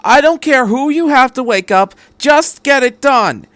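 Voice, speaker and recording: A man's voice: very high-pitched, animated, measured pace, fairly bright tone, smooth, dry recording, voice pushed up, full character voice. A man reading fan fiction aloud as an impatient, commanding woman captain, barking and exasperated in clipped phrases. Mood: angry, exasperated.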